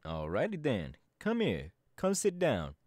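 A man's voice: mid-range voice, wildly swooping pitch, a little slow, darkish tone, dry recording, studio quality. A man voice acting a laid-back young male DJ gang boss in an ASMR audio roleplay, talking in an easygoing, chatty drawl. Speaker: voice actor.

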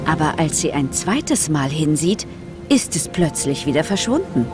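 A woman's voice: deep voice, sing-song, a little slow. A woman narrating a scripted children's story for an audio play. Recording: music under the voice.

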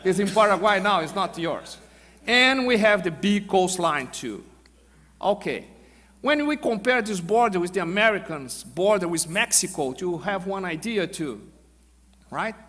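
A high-pitched Brazilian man speaking at a conference podium in a big auditorium. He talks in halting, non-native English with a Brazilian Portuguese accent, stopping and restarting often. His voice rings with a long hall reverb. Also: expressive, fairly bright tone. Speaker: panel speaker, army general. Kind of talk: conference presentation. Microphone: podium microphone.

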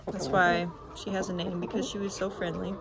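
A young woman chatting casually as she films her farm on a phone held at arm's length, outdoors, sometimes talking to her chickens in a soft coaxing voice. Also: on the low side, flat delivery, brisk, vocal fry, background noise. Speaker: homestead vlogger. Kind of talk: casual vlog narration. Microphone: phone camera held at arm's length. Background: clucking chickens and roosters.

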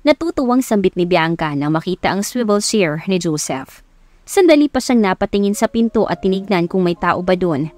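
A woman's voice: medium pitch, expressive, normal speed, a little mellow, dry recording. A woman with a Filipino accent reading scripted narration for a romance audiobook. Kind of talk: scripted narration of a Tagalog love story.

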